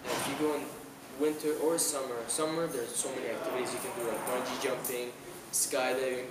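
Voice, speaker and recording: Young guy from iran being interviewed outside, mid pitch, kinda far from the mic, lots of background noise. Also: fairly even intonation, brisk. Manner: hesitant, many restarts and fillers. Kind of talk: casual street interview. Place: outdoors on an island, city nearby. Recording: roomy, noisy outdoor camera audio from a distance.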